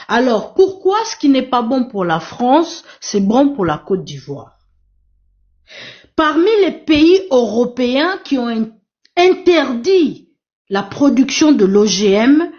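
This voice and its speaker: A woman's voice: on the high side, a little mellow. A woman whose first language is Portuguese, moderating a live panel and urging her listeners with emphatic, repetitive appeals. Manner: emphatic, repetitive, exhorting the audience. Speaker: live stream moderator and activist. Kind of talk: impassioned live panel talk. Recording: dull lo-fi live stream audio.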